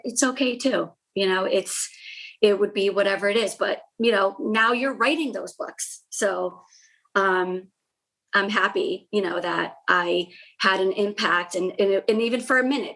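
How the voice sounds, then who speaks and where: A woman's voice: medium pitch, rough recording. Reflective middle-aged woman guest. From United States. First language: Portuguese. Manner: warm, reflective, frequent "um" and "you know". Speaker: salon owner guest. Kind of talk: casual interview chat.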